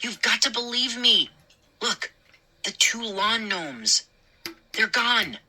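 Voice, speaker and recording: Woman voicing an excitable, pleading young boy, bright and urgent. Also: a little high, slow pace, slight background noise, voice pushed up, exaggerated voice. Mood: insistent. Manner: breathless, earnest pleading. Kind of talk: audiobook character dialogue.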